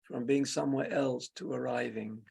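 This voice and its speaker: A man leading a guided meditation as a teacher, speaking in short, unhurried phrases with long pauses, his voice gravelly and husky. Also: mid-range voice, fairly even intonation, a little mellow. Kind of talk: guided meditation, spoken slowly and softly.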